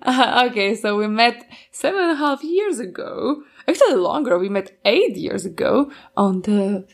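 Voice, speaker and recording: A woman's voice: fairly high pitch, sing-song, normal speed, vocal fry. A woman in her twenties with a Slovak accent, co-hosting a casual couple podcast in English and laughing often. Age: 20s. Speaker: podcast co-host.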